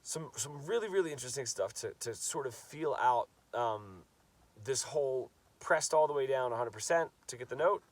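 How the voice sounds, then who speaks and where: A man's voice: medium pitch, lively intonation, moderate pace, recorded from a distance. A man with a stuffy nose from a cold, teaching a casual guitar lesson, talking loosely and repeating words as he demonstrates. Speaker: guitar coach.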